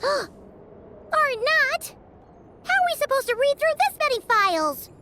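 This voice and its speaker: Squeaky, jumpy little youngster. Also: female voice, slow, big-room sound, noisy background, full character voice. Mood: flustered. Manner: excitable, exclamatory.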